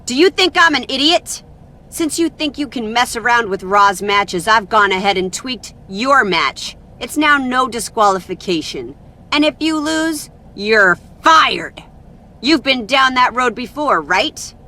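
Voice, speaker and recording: A woman's voice: fairly high pitch, animated, a little slow, sharp tone. A woman playing a stern, vindictive wrestling show boss in a video game, curt and commanding. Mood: angry threatening. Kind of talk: video game cutscene acting.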